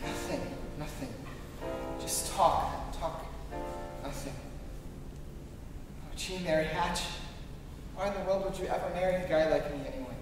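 Distant earnest young man acted by a man, high-voiced. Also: a little slow, long pauses, music in the background, close to an everyday voice. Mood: troubled. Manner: earnest, emotional, theatrical. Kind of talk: live radio play acting. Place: on stage in a school auditorium. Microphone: stage mic, recorded from far camera. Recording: distant echoey auditorium, clear room noise.

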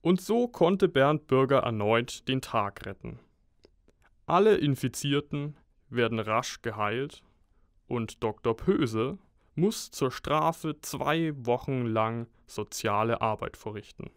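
A man narrating a comic superhero radio play as a deadpan storyteller. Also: mid-range voice, slow, smooth, no echo, studio quality.

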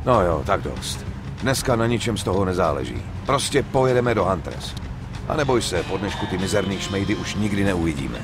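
A low-pitched, persuasive gangster in a crime game dub. He talks in blunt slang and sounds sure of himself. Music plays clearly behind him. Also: animated. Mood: calm, persuasive. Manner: blunt, slangy, self-assured. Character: level-headed, persuasive male gangster running a drug deal. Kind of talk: dubbed video game acting.

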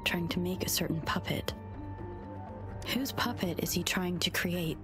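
An actress playing an inquisitive young woman wanderer in a video game, musing to herself in a thoughtful, hushed manner. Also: lowish voice, measured pace, whispered. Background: game music.

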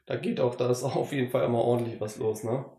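A man's voice: mid-range voice, fairly even intonation, fast, warm tone. Upbeat male podcast host, echoey room, easygoing. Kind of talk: casual podcast hosting.

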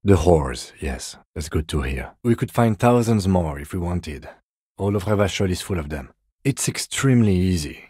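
An actor playing a composed, weary police detective in a video game, with dry, deadpan, measured delivery. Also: male voice, low voice, husky, no echo. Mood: dry, restrained.

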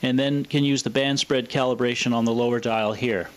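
A man presenting a scripted, explanatory product demonstration in a measured, matter-of-fact way. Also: mid-range voice, fairly even intonation. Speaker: presenter of a vintage radio showcase video.

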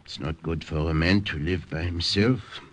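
An actor playing a hearty older Dutch uncle with an emphatic, exclamatory delivery in an old-time radio drama. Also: male voice, low voice, steady tone, moderate pace, slight background noise, close to an everyday voice. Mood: somber. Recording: vintage radio drama recording.

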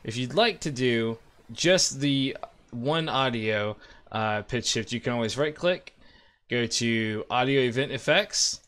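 A man in his thirties giving an informal, upbeat screen-recorded software tutorial as host. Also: medium pitch, clear, resonant tone. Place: indoor room at a desk.

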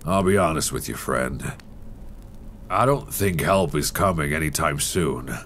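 Very deep-voiced, weary expedition survivor played by a man. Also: measured pace, slight background noise, exaggerated voice. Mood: grim, resigned. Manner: sighing, low-key, addressing one listener as friend. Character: weary, grim male survivor on icebound expedition ship. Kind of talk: horror ASMR audio roleplay, close intimate acting. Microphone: close binaural microphone. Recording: close binaural with steady storm-like ambience.

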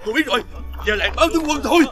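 A man voicing timid, excitable common folk in an animated fairy tale, fretful in manner. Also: extremely high pitch, rapid-fire, over a noisy crowd. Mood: alarmed. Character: jumpy, timid common male folk, soldiers and villagers.

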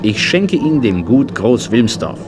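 Jovial country vet, soft-hearted, mid-pitched and expressive, standard German, children's radio drama with music. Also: male voice, measured pace. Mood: earnest storytelling. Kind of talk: children's radio play acting.

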